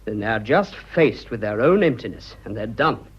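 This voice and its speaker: Radio drama: boyish British planter, young man, sincere, animated delivery, husky edge. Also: on the low side, normal speed, highs cut off. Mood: earnest. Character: earnest, boyish young English colonial planter in love.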